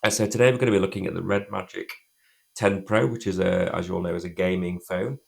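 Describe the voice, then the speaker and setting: A man with a cold, croaky and chatty, reviewing a phone in a rambling, casual way. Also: deep voice, fairly even intonation, fast talker. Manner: chatty, rambling, restarts sentences often. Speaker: tech reviewer.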